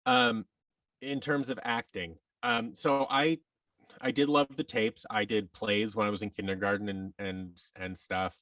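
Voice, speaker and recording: A man telling his story casually as a podcast guest, reflective and halting, often acting out bits of quoted dialogue. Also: lowish voice, steady tone, a bit bright, no echo, recorded from a distance. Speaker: podcast guest, actor and audio drama producer.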